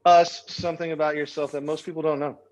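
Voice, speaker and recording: A man's voice: on the high side, little pitch movement, quick pace, low-quality recording. A man hosting a podcast interview over a video call, upbeat and encouraging toward his guest. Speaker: podcast host. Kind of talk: casual interview chat.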